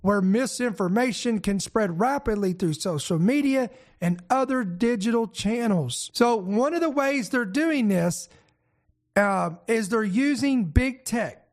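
A man hosting and pastoring a news commentary show, his voice raspy and congested from a head cold, talking in an energetic, emphatic way. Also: sits high, a little slow. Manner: energetic, emphatic preacher-style delivery with fillers. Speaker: host and pastor.

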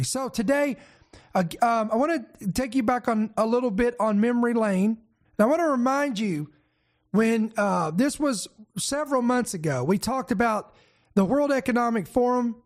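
Raspy, congested high-voiced male host. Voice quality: raspy, congested from a head cold. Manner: energetic, emphatic preacher-style delivery with fillers. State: fighting a head cold. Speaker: host and pastor. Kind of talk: news commentary show.

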